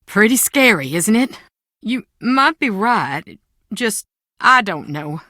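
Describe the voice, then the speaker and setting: A middle-aged mother for a video game. She is proud and guarded, with an anxious edge and a theatrical, highly expressive delivery. The voice is bright and forceful, recorded very dry. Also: takes it slowly, dry recording, full character voice. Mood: uneasy, weary. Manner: defensive, theatrical, emotionally expressive.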